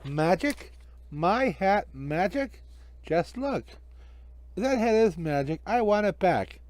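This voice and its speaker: A man's voice: sits high, very animated, slow pace, a little mellow, pitched above the performer's own voice, heavily acted. A man voicing a vain, greedy, grouchy stage magician in a story, with a theatrical, scolding bluster.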